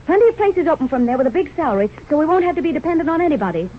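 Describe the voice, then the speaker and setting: An actress playing a plucky, devoted young wife with frank, stubborn talk in a vintage radio drama. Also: female voice, high voice, sing-song, racing. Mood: firm, insistent. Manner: frank, firm, plain small-town talk. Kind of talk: 1930s radio drama acting. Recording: tinny, noisy old radio recording.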